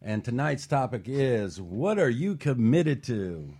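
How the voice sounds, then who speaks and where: A man's voice: mid-range voice, very animated, takes it slowly, dark tone, husky. A man hosting a talk show, welcoming guests in an upbeat, chatty way from a studio.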